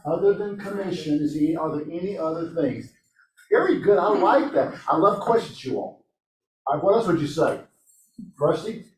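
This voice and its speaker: A man's voice: fairly high pitch, animated, moderate pace. Upbeat man leading a meeting. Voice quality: upbeat, encouraging, quizzes the room. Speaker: real estate office leader running a team meeting. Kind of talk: meeting talk to a room. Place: meeting room. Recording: echoey room, from a distance, faint noise.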